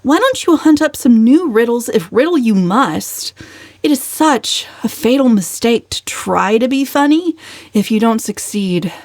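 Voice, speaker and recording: A defiant young woman in her late twenties from a storybook, acted by a female reader, unflappable and dryly witty. She speaks with deliberate, mocking composure, pitched a little high, in a very dry recording. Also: no echo. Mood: cool mockery. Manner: calm, dryly sardonic, deliberate.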